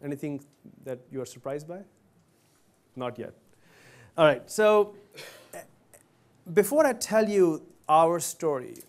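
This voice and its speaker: A man's voice: fairly high pitch, a little mellow. Man giving a chatty keynote. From Pakistan. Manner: chatty, addresses the audience directly with questions. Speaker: company CEO giving a guest talk. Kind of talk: conversational keynote to students. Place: university event hall.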